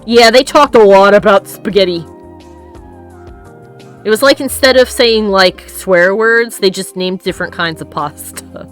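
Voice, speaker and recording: A woman's voice: medium pitch, measured pace, faint music behind. A woman hosting a live stream, chatting casually, reading comments from chat aloud and laughing often. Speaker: streamer host.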